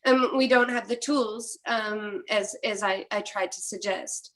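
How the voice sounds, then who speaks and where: A woman's voice: medium pitch, unhurried, a bit bright, low-quality recording. A middle-aged woman from Quebec whose first language is French, speaking thoughtfully with many restarts in an academic panel discussion. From Quebec, Canada. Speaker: philosopher panelist.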